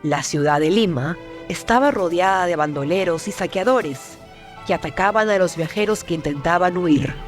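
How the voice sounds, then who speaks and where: A woman giving scripted documentary narration in a measured, formal, dramatic manner. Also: on the low side. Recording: music behind the narration.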